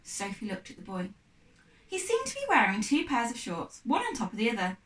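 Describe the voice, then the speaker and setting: A woman's voice: fairly high pitch, huge pitch swings, fairly quick, a bit bright. Woman reading a storybook, echoey room. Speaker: teacher reading aloud. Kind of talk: children's storybook narration. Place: echoey room, away from mic. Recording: echoey room, distant mic.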